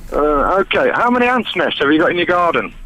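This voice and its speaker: A man calling a radio phone-in, arguing and interrupting with rapid rhetorical questions. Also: a little high, sing-song, sharp tone, low-quality recording. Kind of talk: argumentative call-in.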